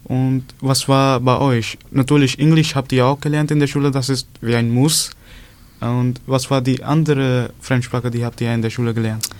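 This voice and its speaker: A man's voice: medium pitch, steady tone, moderate pace, darkish tone. Man asking curious questions. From India. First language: Punjabi. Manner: curious questions in careful learner German. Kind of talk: casual chat.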